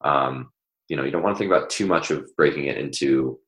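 Really deep guy, talks nutrition, lots of ums, kinda echoey room. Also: fairly quick, fairly bright tone. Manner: hesitant, many fillers and restarts, explanatory. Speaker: nutrition educator, athlete. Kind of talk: casual podcast conversation.

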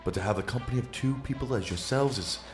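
A man's voice: mid-range voice, quick pace, music in the background, natural voice. A man playing a suave, mysterious painter in a radio play, speaking with formal, gallant courtesy. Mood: suave, courteous.

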